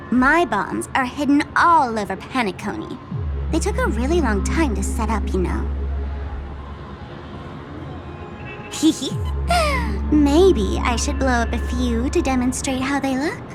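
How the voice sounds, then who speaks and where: A woman's voice: high-pitched, big pitch swings, measured pace. An actress playing a teasing, gleeful female trickster in a video game, theatrical and mocking, with playful menace. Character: gleeful, teasing female trickster fool threatening to blow up a planet. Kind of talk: video game character acting. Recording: music behind the voice.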